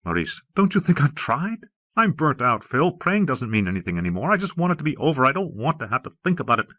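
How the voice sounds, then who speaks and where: A man's voice: mid-range voice, big pitch swings, fast, no room sound, pitched above the performer's own voice, natural voice. A man reading an audiobook, voicing a weary, despairing family member in a strained, bleak, pleading manner. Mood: burnt out. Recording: muffled old cassette audiobook transfer.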